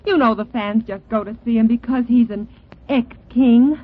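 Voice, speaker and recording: A woman's voice: fairly high pitch, normal speed, natural voice. An actress playing a world-weary, sardonic young Broadway woman, dry and cynical, in 1940s radio style. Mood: sardonic, challenging. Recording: old radio recording, phone-like band.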